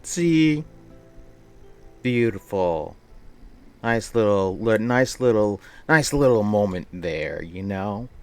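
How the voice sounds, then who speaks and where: A man's voice: lowish voice, lively intonation, slow pace, long pauses, a little mellow, clean tone, faint music behind. A man livestreaming, casually chatting with viewers and reading aloud in a rambling, playful way that often repeats phrases. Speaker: live streamer.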